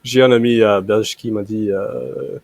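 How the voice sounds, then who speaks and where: A young American man, a native English speaker, chatting in halting French as a language learner over a video call, with frequent fillers and restarts. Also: on the low side, fairly even intonation, darkish tone, dry recording. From United States. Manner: hesitant, many fillers and restarts. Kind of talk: casual conversation practice.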